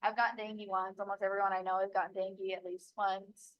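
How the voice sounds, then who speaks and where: A woman's voice: mid-range voice, little pitch movement, fast talker, dull audio. A young woman from Bali giving casual, chatty advice as a local guide, with lots of "like" fillers. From Bali, Indonesia. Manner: chatty, many "like" fillers, laughs easily.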